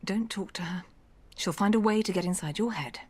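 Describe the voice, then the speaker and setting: A woman's voice: mid-range voice, a little fast, husky, lightly acted. An actress playing a suspicious, hostile woman in a game, snapping and accusatory. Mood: hostile warning.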